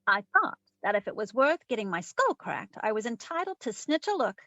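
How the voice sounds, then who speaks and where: Woman playing a high-voiced wisecracking radio private eye. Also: pushed and bright, dry recording, full character voice, low-quality recording. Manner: wry, slangy, theatrical storytelling. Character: wisecracking, self-assured female private eye of old-time radio. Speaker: community theatre voice actor. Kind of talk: 1940s radio detective acting.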